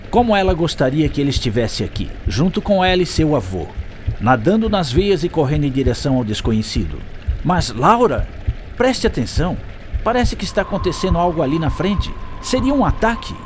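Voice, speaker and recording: A man's voice: a little high, sing-song, fairly quick, noisy background. A man with a Brazilian accent narrating a scripted radio drama in a dramatic storytelling manner. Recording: music under voice.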